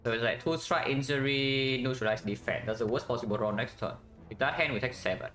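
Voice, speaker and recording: A man's voice: mid-range voice, brisk, a bit bright, faint music behind. Vietnamese-accented man streaming a card game. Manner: thinks aloud, tag questions "yeah?", chats with viewers. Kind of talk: casual live-stream commentary.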